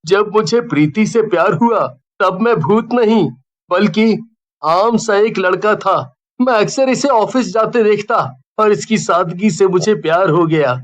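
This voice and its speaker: Man playing a high-voiced, sorrowful, lovestruck ghost. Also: unhurried. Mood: wistful, confessing. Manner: earnest, wistful. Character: sorrowful lovestruck young male ghost, gentle and confessing. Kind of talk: Hindi horror cartoon dubbing.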